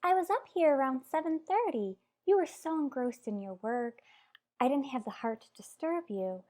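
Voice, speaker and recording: A woman playing a gentle, affectionate wife in an old-time radio soap, speaking tentatively with halting phrases. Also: high voice, expressive. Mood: gentle, hesitant. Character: gentle, hesitant wife longing to adopt an older boy.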